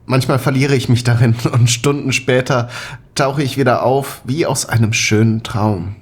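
A man's voice: medium pitch, fairly even intonation, moderate pace, breathy edge, natural voice. An actor playing a shy, dreamy young Soviet man, speaking hesitantly and tenderly in an intimate radio play. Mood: pensive, tender.

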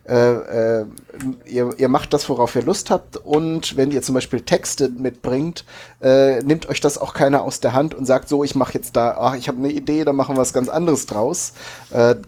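A man's voice: medium pitch, a little mellow. A man co-hosting a casual group podcast, hesitantly searching for his words. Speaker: podcast co-host.

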